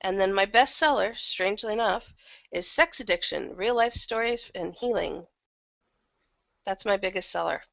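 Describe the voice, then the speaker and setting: A woman's voice: mid-range voice, normal speed, gravelly, fairly bright tone, no room sound, lo-fi sound. A woman chatting casually as an interview guest, talking in a loose, conversational way and sometimes correcting herself. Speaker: interview guest, audio drama producer and audiobook narrator.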